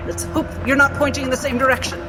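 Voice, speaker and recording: A man's voice: extremely high pitch, flat delivery, quick pace, over music. A man playing a tabletop game, moving between narrating a character and speaking as that character.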